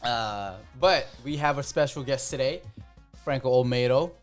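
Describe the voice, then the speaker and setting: A man in his thirties co-hosts a relaxed podcast and quizzes his friend. He teases in a dry, deadpan way. His voice has an ordinary middle pitch. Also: normal speed, soft background music. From Philippines. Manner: teasing, deadpan ribbing. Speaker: podcast co-host. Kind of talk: casual podcast chat.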